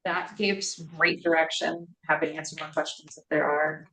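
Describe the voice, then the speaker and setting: Woman, low-pitched, staff presenter at a public board meeting, measured and filler-heavy. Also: steady tone, a bit bright, low-quality recording. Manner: measured, frequent ums and hedging fillers. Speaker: port staff member presenting to commissioners.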